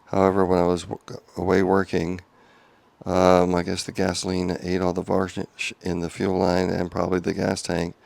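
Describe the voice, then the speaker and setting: Quiet, flat-voiced man with gravelly lows. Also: normal speed, dry recording. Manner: quiet monotone talk with many ums and restarts. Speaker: home car restorer. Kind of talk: casual workshop update video. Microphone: small clip-on wireless mic.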